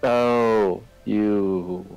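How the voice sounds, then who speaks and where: Gravelly man chatting casually on stream. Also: on the low side, steady tone, a little mellow, rough recording. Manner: halting, repeats words. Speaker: game streamer. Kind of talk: casual chat during a game stream. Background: video game music.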